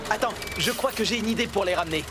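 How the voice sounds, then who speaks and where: A man's voice: high-pitched, fast, close to an everyday voice. A man playing a friendly, practical builder in a French children's cartoon dub. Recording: loud music behind voice.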